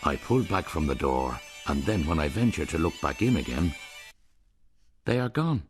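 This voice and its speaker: A man's voice: a bass voice, sing-song, moderate pace, faint music behind. A man narrating a radio drama in a wry, storytelling manner.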